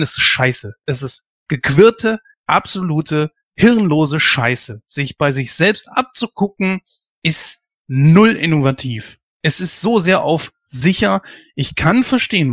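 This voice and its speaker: A fairly high-pitched man in his late thirties who speaks his mind with emphasis and rants at length. He hosts a casual chat. The sound is dry and close, with no room around it. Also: no echo. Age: 30s. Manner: opinionated, emphatic, ranting. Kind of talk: casual discussion.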